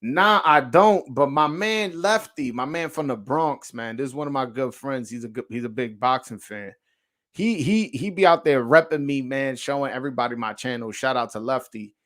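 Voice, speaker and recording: A man's voice: medium pitch, moderate pace, a bit bright. A man with a New York accent hosting a boxing livestream, chatting casually, swearing and stammering through restarts. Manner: slangy, profane, lots of stammered restarts. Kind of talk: casual livestream chat, reacting to viewer comments.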